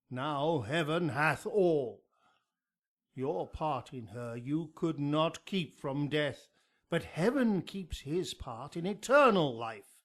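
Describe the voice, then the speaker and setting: A man as measured, solemn friar. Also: on the high side. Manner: measured, declamatory. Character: solemn, counselling friar with grave purpose. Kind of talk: Shakespearean radio drama acting.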